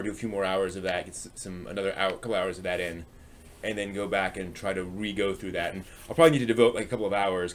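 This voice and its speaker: A man hosting a casual livestream, rambling and thinking aloud as he chats with viewers in a halting way. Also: on the low side. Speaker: live stream host. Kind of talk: casual livestream chat, answering viewers' comments.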